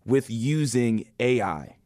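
A man hosting a podcast interview in a casual, informal way, feeling out his questions in halting phrases. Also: medium pitch, animated, dark tone, dry recording.

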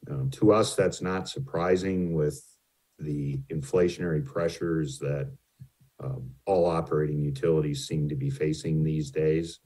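A man's voice: very deep voice, fairly even intonation, unhurried, dark tone, slightly rough, poor audio. A man presenting financial slides to a city council, hesitant and full of ums and restarts, who says his voice tends to carry. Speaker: utility rate consultant presenting to a city.

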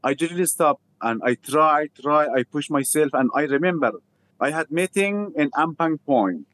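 Afghan-accented man telling his story haltingly. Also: on the high side, animated, a bit bright. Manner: halting, simple non-native English with repeated phrases. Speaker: interview guest, refugee theatre founder. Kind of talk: personal storytelling in an interview.

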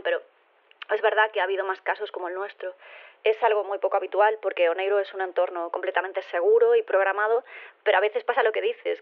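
A female voice through a narrow telephone line. She plays a polite, body-swapped interviewee with a medium-pitched voice, chatting in a rambling, self-correcting way. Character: chatty, polite man living inside a woman's body. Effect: telephone filter. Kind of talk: naturalistic phone interview in audio drama. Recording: narrow, tinny phone line.

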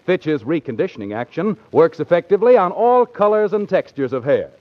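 Lively high-voiced 1940s American radio announcer. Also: male voice, brisk. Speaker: commercial announcer. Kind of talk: 1940s radio advertising read. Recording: dull 1940s radio recording.

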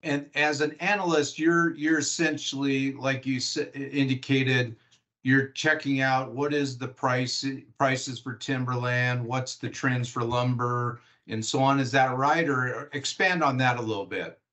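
A man's voice: mid-range voice, fairly even intonation, measured pace, pushed and bright. A middle-aged man from Washington State with an American accent, hosting a conversational podcast interview. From Washington State, United States.

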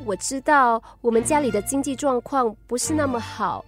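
A woman's voice: on the high side, normal speed, a bit bright, soft background music, close to an everyday voice. A woman acting an earnest, defensive teenage schoolgirl in a Mandarin radio drama, hesitant and pleading. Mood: earnest, apologetic. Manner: hesitant, pleading, stubbornly defiant.

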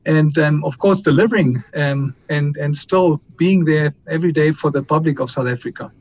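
A middle-aged man from Colombia, a company chief executive answering interview questions in a measured way, with frequent fillers and restarts. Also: darkish tone. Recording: muffled line with faint rumble.

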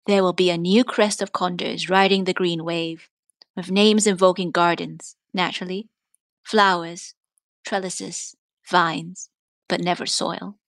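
A woman reading scripted literary prose aloud in a measured, articulate way. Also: on the low side, steady tone, no echo, studio quality. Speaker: reader of prose and poetry.

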